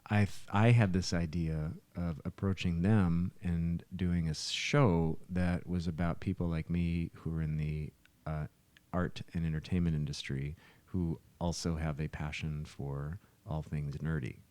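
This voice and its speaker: A middle-aged man with a very low, soft-edged voice chats as a podcast host. He rambles and doubles back on his words as he explains. The sound is dry. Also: fairly even intonation, unhurried, no room sound. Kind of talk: casual podcast chat.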